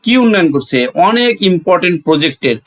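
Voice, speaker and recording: A man's voice: high-pitched, animated, fast talker. A man with a Bangladeshi accent teaching a grammar lesson, asking questions and answering them himself, repeating phrases for emphasis.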